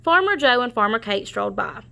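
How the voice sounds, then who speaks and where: Bright, high-voiced woman reading a storybook. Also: big pitch swings, brisk, vocal fry. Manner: explanatory asides to young listeners. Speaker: storybook reader. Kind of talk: children's read-aloud narration.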